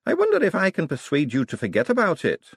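Elderly wizard don, acted by a male reader: high, animated, fussily polite and conspiratorial, for a fantasy storybook. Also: quick pace, husky, dry recording, clean studio sound, heavily acted. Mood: conspiratorial, coaxing. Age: older. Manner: fussy, courteous, conspiratorial.

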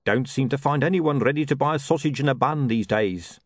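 A man's voice: medium pitch, brisk, no room sound, studio quality, voice pushed up, natural voice. A man voicing a gloomy, down-on-his-luck sausage hawker in an audiobook, with colloquial, lamenting salesman's patter. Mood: gloomy hawking. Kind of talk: audiobook character voice.

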